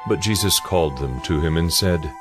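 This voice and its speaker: A man's voice: low voice, normal speed, music in the background, natural voice. A man playing the solemn narrator of a Bible audio drama, giving a scripted, storytelling read. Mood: dramatic narrating.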